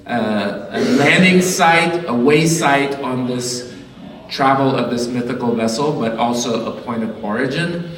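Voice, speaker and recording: Artist from Liberia, male, mid-pitched, slow and thoughtful delivery with fillers; distant mic, roomy gallery echo. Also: fairly bright tone. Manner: slow, halting, many fillers. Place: large echoey gallery indoors. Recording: roomy hall, far from mic.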